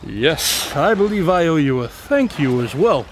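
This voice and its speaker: A smug, eccentric male detective in a radio drama. He speaks slightly high, breezy and self-assured, correcting people pedantically, over faint background ambience and noise. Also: big pitch swings, a little slow, low-quality recording. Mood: nonchalant.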